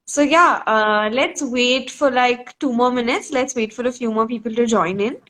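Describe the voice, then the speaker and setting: A woman with a slightly high voice hosts a live online discussion over a video call. She asks her questions politely and at a measured pace, with the flat sound of a laptop mic. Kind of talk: casual live-stream interview.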